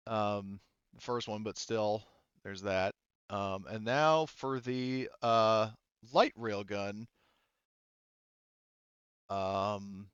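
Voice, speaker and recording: Male game master, clean smooth voice, halting think-aloud narration, dry slightly dull audio. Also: fairly low pitch, fairly bright tone, dry recording. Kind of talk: narrating a tabletop game session.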